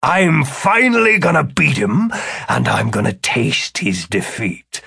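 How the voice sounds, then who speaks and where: Man acting a bitter, vengeful wizard, animated. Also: mid-range voice, vocal fry, slightly rough, full character voice. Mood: vengeful relish. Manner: emphatic, deliberate, dry. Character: bitter, vengeful male wizard, dryly sneering.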